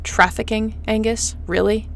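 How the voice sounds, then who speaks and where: A woman's voice: a little high, fairly even intonation, measured pace, vocal fry. A woman playing a tough, defiant starship captain in a sci-fi audio drama, speaking bluntly and with command. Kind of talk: sci-fi audio roleplay acting. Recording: faint background noise.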